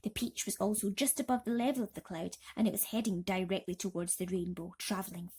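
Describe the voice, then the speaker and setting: A woman's voice: medium pitch, steady tone, a little fast, dark tone, slight background noise. A woman narrating a children's bedtime story expressively.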